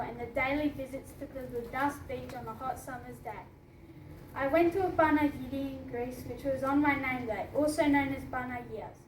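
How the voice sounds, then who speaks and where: A teenage girl from Australia, reading her personal essay aloud at a book launch, earnest and laughing now and then. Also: sits high. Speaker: student reading her story. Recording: echoey hall from far off, noisy.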